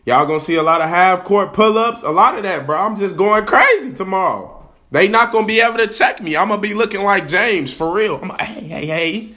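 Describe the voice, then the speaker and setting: A young man hosting his own podcast, hyped and slangy, hoarse from a cold. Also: sits high, fairly quick, sharp tone. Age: young adult. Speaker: podcast host. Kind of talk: casual vlog-style podcast talk. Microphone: camera.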